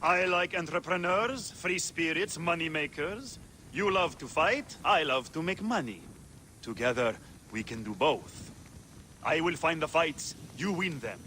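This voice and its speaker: Greedy arena promoter, higher-pitched, very lively, smug showman, game cutscene, faint noise. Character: greedy, boastful male arena fight promoter. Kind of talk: video game cutscene acting.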